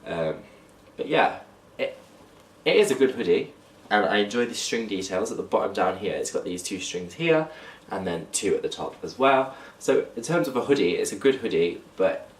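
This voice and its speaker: A young man talks casually to camera, his blocked nose giving his low voice a nasal edge. He sits back from the camera mic in an echoey room. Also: recorded from a distance. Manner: chatty, talks to camera while holding up clothes. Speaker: fashion vlogger. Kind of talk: casual haul vlog.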